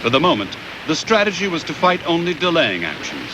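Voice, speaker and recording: A man reading scripted historical documentary narration in a measured, formal way with deliberate pauses, on a VHS transfer. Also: on the high side, wildly swooping pitch, noisy background.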